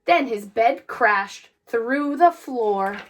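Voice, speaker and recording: High, animated woman reading a storybook. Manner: deliberate, expressive phrasing with emphasized words. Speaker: storybook reader. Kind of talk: children's read-aloud, scripted narration.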